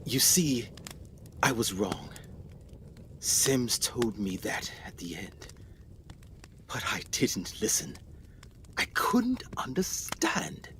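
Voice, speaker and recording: A man's voice: on the low side, very animated, fairly bright tone, whispered, exaggerated voice. A man playing a haunted, guilt-ridden explorer doctor in a radio play, telling his story with theatrical intensity. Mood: haunted, grave. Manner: theatrical, intense, halting delivery.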